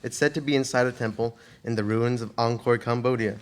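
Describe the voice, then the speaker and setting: A man's voice: mid-range voice, fairly even intonation, fairly quick, lightly acted. Man playing an easygoing treasure-hunting pilot in radio drama. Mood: earnest. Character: eager male ex-treasure hunter and pilot, easygoing adventurer. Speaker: student radio play actor. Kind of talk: amateur radio theater acting.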